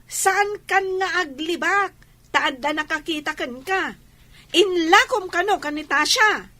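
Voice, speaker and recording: High, bright actress as aggrieved village woman. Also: measured pace, heavily acted. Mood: accusing. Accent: Ilocano. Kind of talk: Ilocano barangay comedy drama acting.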